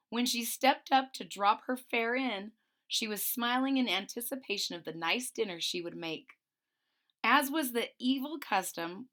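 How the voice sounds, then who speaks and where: A woman's voice: fairly high pitch, fairly bright tone. A woman reading a picture book aloud and stopping to explain it simply to children. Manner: clear, explaining asides for children. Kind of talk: storybook read-aloud with explanations.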